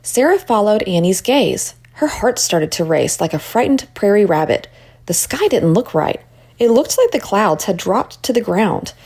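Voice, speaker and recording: A woman reading a children's story aloud as an expressive narrator. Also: mid-range voice, husky. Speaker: storybook reader.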